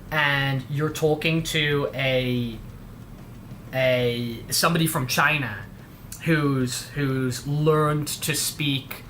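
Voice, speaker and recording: English man, bright forceful voice, rambling casual livestream chat, slangy, some background noise. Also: a little high. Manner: rambling, heavy on slang like dude and bro. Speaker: livestream host.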